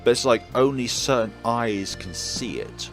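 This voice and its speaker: A man's voice: mid-range voice, measured pace. A man hosting a let's play of a visual novel, adding joking, rambling asides to the story. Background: game music.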